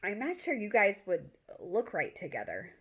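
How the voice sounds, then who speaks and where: A woman's voice: medium pitch, huge pitch swings, moderate pace, fairly bright tone, breathy edge, heavily acted. A woman reading the part of a skeptical male college friend who is blunt and dryly teasing in a story.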